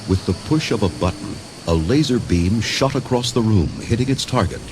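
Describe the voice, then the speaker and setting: A man's voice: on the low side, animated, moderate pace, noisy background. A man narrating a read-along adventure story in a dramatic, scripted style.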